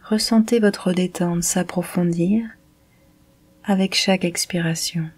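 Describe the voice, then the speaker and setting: A woman guiding a yoga nidra meditation in a calm, measured, soothing manner. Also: fairly low pitch, slightly rough. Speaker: meditation guide.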